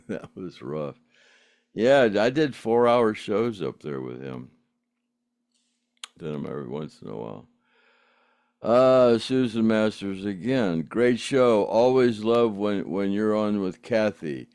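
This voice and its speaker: A man's voice: lowish voice, big pitch swings, slow, darkish tone, no echo. An older man, chatting casually as a podcast host reading out viewer comments, rambling with frequent laughs and restarts. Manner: rambling, laughs often, many fillers and restarts. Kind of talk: casual chat.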